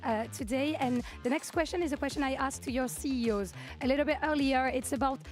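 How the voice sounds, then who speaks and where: A woman's voice: high-pitched, fast talker, soft background music. A woman interviewing a player on stage after a match, friendly and prompting with "right?". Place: on stage in an arena.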